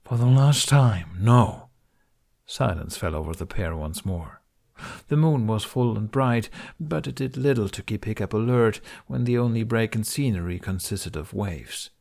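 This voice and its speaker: A man reading a fantasy story as an audiobook narrator, in an expressive scripted style. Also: fairly low pitch, normal speed, gravelly.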